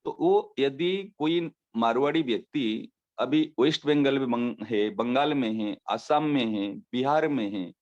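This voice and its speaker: A man giving a devotional discourse as a spiritual teacher, explaining with everyday examples and slowly repeating his key phrases. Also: medium pitch, a little mellow. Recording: dull lo-fi recording.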